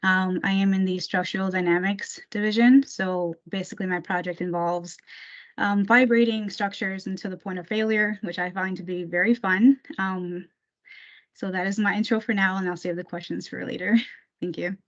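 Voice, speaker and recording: A woman's voice: medium pitch, fairly even intonation, normal speed. A woman in her twenties, from Puerto Rico, introducing herself as an engineering intern on a video call, fluent and cheerful. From Puerto Rico, raised in New Jersey. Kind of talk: self-introduction on a panel. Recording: dull video call audio.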